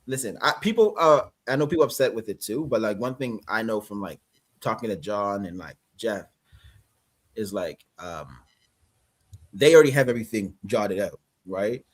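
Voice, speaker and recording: A man with a Canadian accent, a guest actor chatting casually on a livestream, relaxed and rambling, laughing often. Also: fairly low pitch, normal speed.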